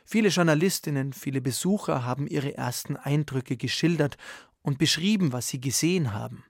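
A man's voice: a little high, brisk, vocal fry, no echo, clean studio sound. A man presenting a scripted radio magazine as its host.

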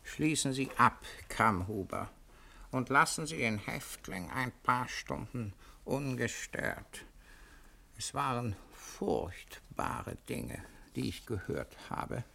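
A man's voice: medium pitch, very animated, takes it slowly, creaky, pushed and bright, heavily acted. A man acting a grave, dignified senior judge, solemn and terse, in a 1960s German radio play. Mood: grave, curt.

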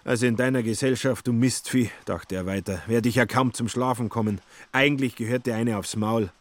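A man's voice: mid-range voice, steady tone, brisk, no room sound, natural voice. An actor playing a grumpy, suspicious young male traveller in a radio play, curtly grumbling his private thoughts. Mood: grimly annoyed. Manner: grumbling, curt.

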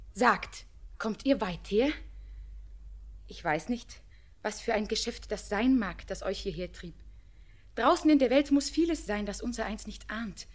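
An actress playing a young village woman who talks softly and earnestly in a classic radio play. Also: on the high side. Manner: soft, earnest, sometimes whispered.

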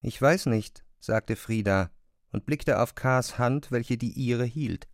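A man reading scripted literary narration in a serious, measured manner. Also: medium pitch, gravelly, no room sound, clean studio sound.

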